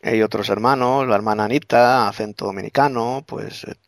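A man's voice: on the low side, fairly even intonation, a little fast, dry recording, clean studio sound. A man with a Castilian Spanish accent exhorting in a religious discussion, emphatically repeating his points.

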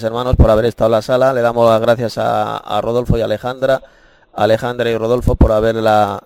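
A man with a Spain Spanish accent hosting an online Bible chat room, talking in an unhurried way, often repeating his phrases and calling listeners brothers. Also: fairly low pitch, vocal fry, mellow, no echo. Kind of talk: casual preaching and closing remarks.

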